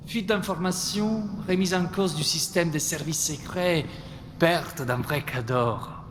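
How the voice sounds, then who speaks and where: A man playing a menacing terrorist in a French audio drama, taunting his enemy in a deliberate, theatrical, mocking manner. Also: medium pitch, a bit bright. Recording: reverberant hall, faint background noise.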